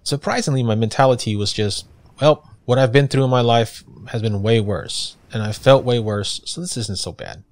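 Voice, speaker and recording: A man's voice: mid-range voice, normal speed, no echo. A man narrating a first-person story in a conversational style, as a guest reader.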